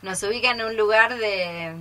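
A woman with an Argentine Spanish accent talks casually on a live radio show. Her voice is bright and sits at a medium pitch. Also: normal speed, slight background noise. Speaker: theatre workshop teacher. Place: radio studio, live broadcast.

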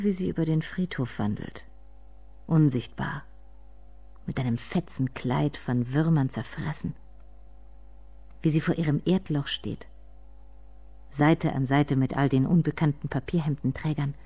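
An actress playing a bitter, grieving grown daughter who addresses herself in a measured, intimate radio monologue. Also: female voice, deep voice, dark tone, husky, exaggerated voice. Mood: bitter, intense.

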